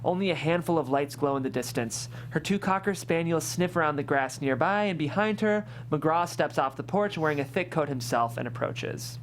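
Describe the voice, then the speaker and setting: A woman's voice: deep voice, lively intonation, normal speed, few pauses, a little hiss or hum. A woman reading a screenplay's scene directions as scripted narration at a table read. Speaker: stage-direction reader.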